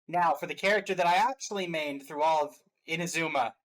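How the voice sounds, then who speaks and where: A man's voice: fairly high pitch, brisk, fairly bright tone. A man giving casual reaction commentary as a co-host, sounding like an enthusiastic game fan.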